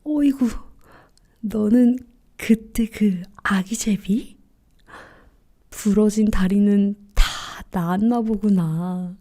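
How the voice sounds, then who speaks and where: A woman voicing a kind, poor, humble younger brother in a storybook folktale, with a breathy, earnest and polite manner. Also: mid-range voice, extremely slow, warm tone. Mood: warm, delighted.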